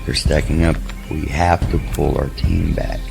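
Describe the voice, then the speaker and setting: An actor playing an alert, doubtful soldier in an audio drama, insistent and questioning. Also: male voice, extremely low pitch, fairly even intonation, unhurried, vocal fry, heavily acted. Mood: urgent. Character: doubtful, alert male soldier on a search team. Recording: loud dramatic music underneath.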